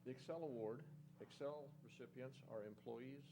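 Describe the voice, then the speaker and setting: A man's voice: mid-range voice, moderate pace. Formal board chairman in a noisy room. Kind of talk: formal meeting business and reading a plaque. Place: public board meeting room.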